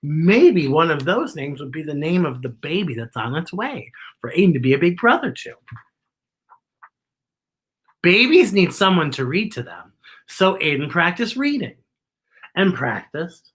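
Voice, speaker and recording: A children's story-time host reading a picture book aloud with expressive, exaggerated delivery and chatty asides to young listeners. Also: mid-range voice, a little slow, long pauses, breathy edge.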